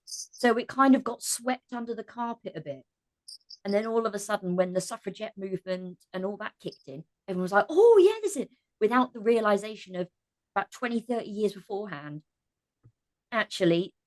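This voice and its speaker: A woman's voice: mid-range voice, expressive, fairly quick. A woman talking casually but with passion as a podcast guest, earnest and emphatic, repeating phrases for emphasis. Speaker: podcast guest, cast member of an audio drama. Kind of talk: impassioned casual discussion.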